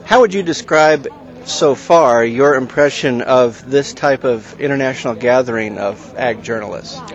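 A man's voice: mid-range voice, normal speed, highs cut off. A man interviewing a guest outdoors, friendly and agreeing. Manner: friendly, agreeing, many "mm-hmm"s. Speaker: interviewer, agricultural journalist. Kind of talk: conversational interview.

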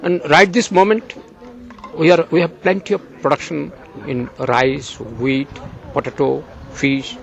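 An older man from Bangladesh answers interview questions outdoors with slow, earnest conviction. His voice sits a little high for a man, and he lingers on words as if preaching. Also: fairly even intonation, vocal fry, highs cut off. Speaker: agricultural journalist, guest.

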